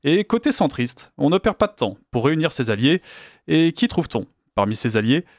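A man's voice: on the high side, sing-song, a little fast, no room sound, studio quality. A man reading scripted political commentary in a sardonic, indignant manner. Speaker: commentator presenting news clips.

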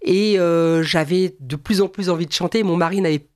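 A woman's voice: fairly low pitch, normal speed, darkish tone, no room sound. Hesitant woman singer, dry sound. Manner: hesitant, many restarts and repeated agreement. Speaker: singer, guest. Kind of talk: radio interview.

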